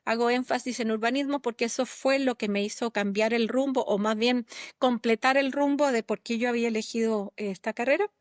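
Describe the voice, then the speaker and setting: Woman from Guatemala, middle-aged, reflective interview guest, slightly high voice, dry close sound. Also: fairly even intonation, a little fast, no echo. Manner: reflective, with many fillers and restarts. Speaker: guest, architect and urbanist. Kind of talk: relaxed interview talk.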